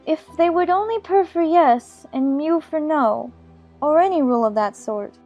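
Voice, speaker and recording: A woman's voice: sits high, moderate pace, lo-fi sound, faint music behind. A woman playing a chatty, imaginative little girl in an audiobook, fond and bossy, prattling on in playful scolding. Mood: earnest complaining. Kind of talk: dramatized audiobook character acting.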